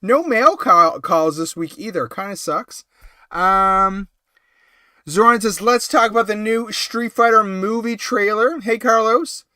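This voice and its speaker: A man's voice: sits high, a little slow, sharp tone, clear, resonant tone, no echo, clean studio sound. A man hosting a casual late-night live stream while he has a cold. He rambles and chats, with lots of ums and small laughs. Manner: rambling, chatty, full of ums and little laughs.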